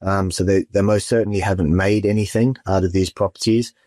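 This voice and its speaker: A man who works in property finance, giving measured, hesitant interview answers with frequent fillers. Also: sits low, steady tone, a little mellow, no room sound. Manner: measured, hesitant with many ums.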